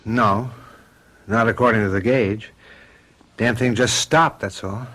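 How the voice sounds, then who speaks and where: An actor playing a weary, resigned husband in a radio mystery drama, terse and wry. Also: male voice, lowish voice, steady tone, unhurried, fairly bright tone. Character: weary, resigned husband and father stranded in fog.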